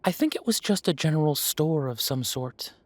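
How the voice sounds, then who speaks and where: A man playing a genial, long-lived elven café owner who reminisces in an unhurried, chatty way, in a roleplay audio drama. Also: fairly high pitch, animated, dry recording, lightly acted. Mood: genial, reminiscing. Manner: unhurried, musing, conversational with pauses.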